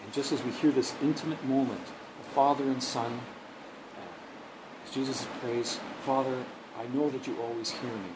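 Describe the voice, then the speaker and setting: Earnest pastor preaching outdoors. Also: male voice, mid-range voice. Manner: earnest, gesturing with his hands, repeats words as he searches. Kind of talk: sermon. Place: outdoors, under a bridge then at an open lookout. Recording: noisy outdoor recording.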